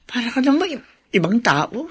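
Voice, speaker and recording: An actress playing a kind, patient older sister in a Filipino radio drama, speaking reassuringly. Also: female voice, a little high, very animated, normal speed, husky. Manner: reassuring, gently philosophical.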